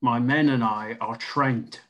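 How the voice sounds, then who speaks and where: A man's voice: medium pitch, steady tone, takes it slowly, a bit bright, lo-fi sound. A man playing a tough, insistent colony security chief in a screenplay table read. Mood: defiant, urgent.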